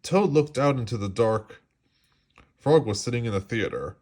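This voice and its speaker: A man reading a children's story aloud in an expressive storytelling style. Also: mid-range voice, normal speed. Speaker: storybook reader.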